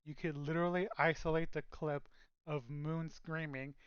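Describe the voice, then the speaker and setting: A man's voice: fairly high pitch, fairly even intonation, normal speed, smooth, no echo, dull audio. A man hosting a casual podcast over a call, joking around and restarting his sentences. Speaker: podcast host. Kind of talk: casual chat. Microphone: own mic on voice call.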